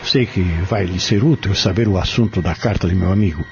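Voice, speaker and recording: A man acts as a guarded Brazilian peddler in an audio drama, keeper of a dead friend's secret. His voice sits low and he speaks formally and carefully. Faint music runs under a slightly dull recording. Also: creaky, a little mellow. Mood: courteous, cautious. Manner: measured, secretive, formal storyteller.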